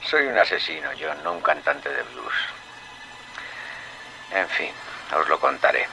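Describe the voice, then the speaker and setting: An actor with an accent from Spain playing a calm serial killer who recounts his crimes in a measured, sardonic way, in a radio drama. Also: very deep voice, gravelly, faint music behind, full character voice, rough recording. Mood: cold, brooding. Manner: measured, coldly matter-of-fact, darkly sardonic. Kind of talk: Spanish radio drama acting.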